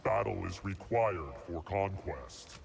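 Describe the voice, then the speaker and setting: A man voicing a stern, merciless combat droid in a video game, clipped and deadpan through a robot filter. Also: sits low, slow pace, vocal fry, big-room sound, heavily acted. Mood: cold, deadpan. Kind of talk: video game character dialogue. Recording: game capture with faint noise.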